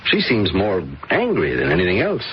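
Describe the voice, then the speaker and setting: A man's voice: deep voice, wildly swooping pitch, normal speed, husky, heavily acted. An actor in a vintage radio drama playing a puzzled man who keeps questioning a witness. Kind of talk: 1970s radio drama acting. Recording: dull vintage radio recording.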